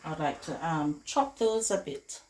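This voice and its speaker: A woman with a hoarse, flu-worn voice, chatting casually to viewers as she cooks in her home kitchen. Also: low voice, lively intonation, unhurried, noisy background. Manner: chatty, addresses viewers as guys. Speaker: cooking vlogger host. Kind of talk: casual cooking tutorial chat. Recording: echoey kitchen with loud clatter. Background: pots, dishes and running water.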